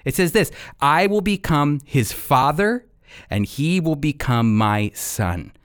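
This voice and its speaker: A man hosting a radio program and teaching from the Bible in an earnest, deliberate, emphatic way. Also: fairly high pitch, animated, no echo, studio quality.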